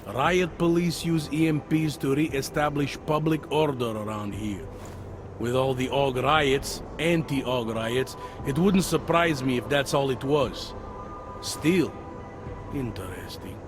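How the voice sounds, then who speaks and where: A man's voice: medium pitch, slow, noisy background, natural voice. A man acting a world-weary, middle-aged police detective in a video game, with a hesitant, wry, conversational delivery. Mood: wry, musing. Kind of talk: video game cutscene dialogue.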